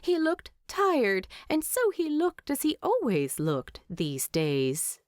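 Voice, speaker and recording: Woman, audiobook storyteller, lively swooping pitch, unhurried pace, close dry recording. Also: no room sound. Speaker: audiobook narrator. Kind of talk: expressive fan fiction storytelling.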